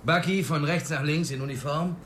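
An actor playing a courteous, formal police official in a radio drama. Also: male voice, a little high, normal speed, a bit bright, slight background noise, lightly acted.

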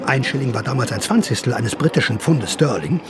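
A man's voice: mid-range voice, lively intonation, fairly quick, over a noisy crowd. An older man with a wry storytelling manner, narrating personally and interviewing casually. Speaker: narrator and interviewer in a radio feature. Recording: clear background noise, location ambience.

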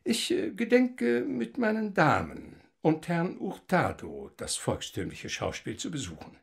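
An actor playing a courteous, cultured professor in a radio drama, speaking formally with small hesitations. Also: male voice, a little high, huge pitch swings, a little slow, gravelly, heavily acted. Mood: genial, inviting. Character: courteous, cultured male professor, hospitable and genteel. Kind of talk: German radio drama acting.

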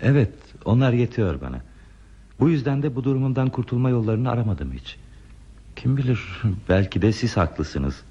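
An actor playing a lonely, gentle hermit in a radio play, speaking in a hesitant, pensive, polite way. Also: male voice, medium pitch, big pitch swings, normal speed, husky. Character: lonely, gentle hermit man living in a remote place. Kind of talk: Turkish radio theater acting. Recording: dull, muffled radio drama recording.

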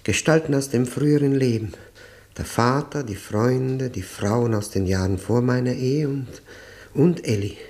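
A man playing an anguished, guilt-ridden middle-aged prisoner in a 1960s radio drama, speaking in a halting, solemn, haunted way. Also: medium pitch, a little mellow, close to an everyday voice. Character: anguished, broken, guilt-ridden male long-term prisoner confessing.

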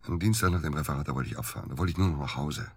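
Radio drama evasive male doctor under suspicion: deep, husky, hesitant, very dry recording. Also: no echo, exaggerated voice. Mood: dejected, defensive. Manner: halting, hesitant, defensive. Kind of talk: naturalistic German radio drama acting.

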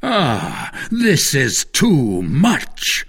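A man's voice: medium pitch, very animated, slow pace, fairly bright tone, husky, dry recording, clean studio sound, pitched above the performer's own voice, heavily acted. A man voicing an imperious, exclamatory English duke, a haughty and defiant nobleman in an audiobook. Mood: haughty, alarmed. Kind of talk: dramatic audiobook character acting.

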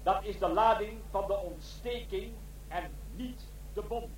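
A formal police announcer in a radio drama. His high voice is measured and official, heard from far off with a strong echo, as over a public address system. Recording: echoey, distant, faint noise.

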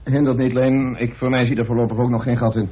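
An actor playing a brisk, genial, formal chairman of an inquiry in a radio drama. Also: mid-range voice, little pitch movement, breathy edge, lightly acted. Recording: muffled, tinny old radio recording.

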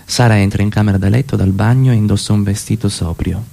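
A man's voice: fairly low pitch, steady tone, quick pace, creaky, mellow. A man narrating a radio play, reading the stage directions as scripted narration.

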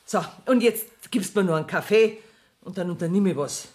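A woman's voice: lowish voice, fairly quick, darkish tone, natural voice. An actress playing a brash, scheming woman in a radio play, speaking brusquely in Bavarian dialect. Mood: bossy, scornful. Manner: brusque, bossy. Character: brash, scheming, cash-strapped woman, bossy and defiant.

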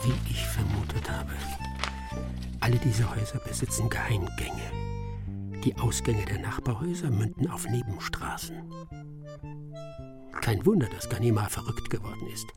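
A man's voice: mid-range voice, vocal fry. An actor playing a shrewd English detective who mutters his deductions to himself in a theatrical radio drama style. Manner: muttering, self-talking, theatrical.

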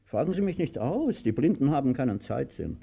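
An actor playing a pompous, helpless blind master with an imperious manner in a theatrical radio drama. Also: male voice, mid-range voice, very animated, fairly quick, creaky, mellow, breathy edge, full character voice. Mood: weary, bewildered. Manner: imperious, given to barked commands and outbursts.

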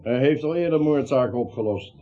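A man's voice: mid-range voice, a little fast, lightly acted. An actor playing an earnest, credulous henchman who speaks emphatically in a Dutch radio play. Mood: awed, insistent. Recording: muffled, dull radio play recording.

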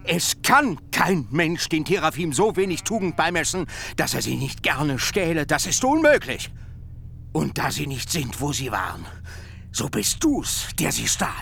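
An actor playing an aggrieved old patriarch in a radio drama, declaiming accusations in heavy, drawn-out phrases. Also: male voice, on the high side, very animated, vocal fry, a bit bright, a little hiss or hum, full character voice. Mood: accusing, agitated. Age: older. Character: aggrieved, blustering old patriarch, plump and hard-hearted. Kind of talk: literary radio drama acting.

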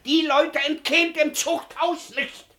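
A man playing an excitable, self-righteous older burgher in a German radio play, speaking in an agitated, emphatic, repetitive manner. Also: very high-pitched, unhurried, full character voice. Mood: outraged.

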